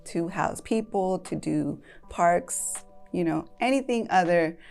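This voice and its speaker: Low gravelly-voiced woman. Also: animated, slow pace, a little mellow, soft background music. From San Jose, California. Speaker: land trust board president. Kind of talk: interview talk.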